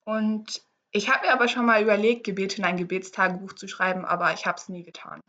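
A woman co-hosting a faith podcast in casual chat, relaxed and chatty as she explains a guessing game; her first language is English. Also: medium pitch, fairly quick, dull audio. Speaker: podcast co-host.

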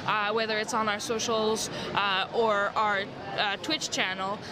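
A woman's voice: medium pitch, level tone, measured pace. A woman from Montreal whose first language is French, speaking as a guest in a convention interview, earnest and full of fillers. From Montreal, Canada. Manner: many fillers, earnest and eager. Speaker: guest from a gaming organization. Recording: crowd noise behind.

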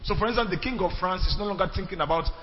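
Man teaching, high and echoey. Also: very fast. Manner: animated, checks in with the class. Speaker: church history teacher. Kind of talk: animated classroom lecture with call-and-response. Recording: echoey hall, dull sound, faint music.

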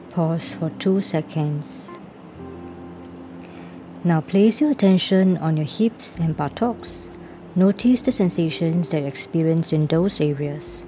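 A woman with a deep, low voice talks very slowly through a guided body-scan relaxation. Her instructions are calm and even, and she reads each pause cue aloud. Clear background music plays under her voice. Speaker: reader of a self-help workbook. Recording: voice laid over music.

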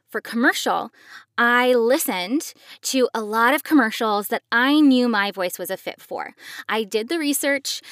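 A woman's voice: fairly high pitch, fairly bright tone, no room sound, studio quality. A woman hosting a podcast, explaining things to parents in a conversational way. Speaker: podcast host and voice actor.

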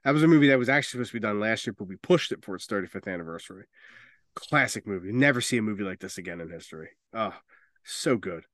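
A man's voice: fairly low pitch, lively intonation, fast talker, fairly bright tone, dry recording, clean studio sound. A man hosting a casual movie podcast, talking informally and bluntly, with strong opinions and plenty of swearing. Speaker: podcast host.

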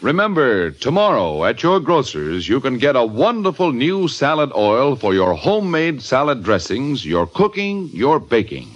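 Old radio announcer guy, very lively pitch, dull vintage sound, bit of organ. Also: moderate pace, few pauses. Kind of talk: old-time radio commercial and scripted narration. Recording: dull band-limited old radio recording.

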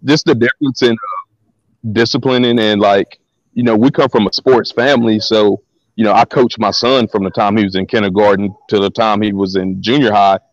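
Deep-voiced man telling stories, lo-fi line. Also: moderate pace, dry recording. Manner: relaxed, slangy, repeats words as he talks. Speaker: guest. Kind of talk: casual storytelling interview.

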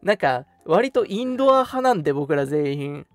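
A young man co-hosting a radio show, chatting casually in an animated, talkative way. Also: a little high, normal speed, faint music behind. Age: young adult. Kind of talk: casual chat.

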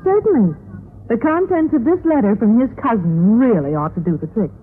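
An actress playing an earnest, scheming middle-aged housewife in a chatty, confiding manner in a vintage radio sitcom. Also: female voice, fairly high pitch, lively intonation, a little fast, husky. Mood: confiding, eager. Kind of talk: 1950s radio sitcom acting. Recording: tinny, noisy old-time radio recording.

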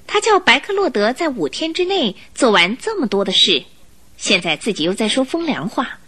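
A woman narrating a radio drama, reading a scripted story in an expressive, dramatic storytelling manner. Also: fairly high pitch, normal speed.

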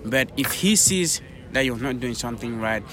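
A man's voice: mid-range voice, lively intonation, moderate pace, a crowd in the background. A young man from Cameroon, a podcast guest talking earnestly in casual chat with many "like" fillers.

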